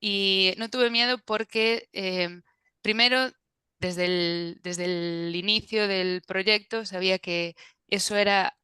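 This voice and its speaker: A woman's voice: mid-range voice, fairly even intonation, slow pace, pushed and bright, smooth, no room sound. A woman from Galicia, a native Galician speaker with a Galician accent, answering thoughtfully in an interview and often searching for words. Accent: Galician Spanish. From Galicia, Spain. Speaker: translator.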